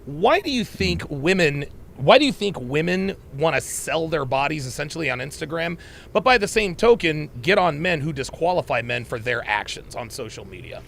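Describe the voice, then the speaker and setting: A man's voice: fairly high pitch, sing-song, normal speed, vocal fry, a bit bright, a little hiss or hum. A man in his forties hosting a podcast interview, chiming in eagerly and affirming his guest often. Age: 40s. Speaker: podcast host.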